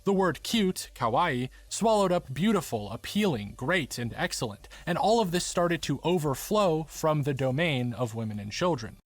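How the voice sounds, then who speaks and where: A man's voice: fairly high pitch, expressive, moderate pace, few pauses, no echo. A man reading scripted documentary narration for a video essay, articulate and formally worded.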